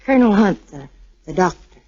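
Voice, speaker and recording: A woman's voice: mid-range voice, expressive, brisk, warm tone, slightly rough. A woman playing a devoted, tender sweetheart bravely holding back sorrow, in 1940s radio drama style. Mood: guarded. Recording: muffled vintage 1940s radio recording.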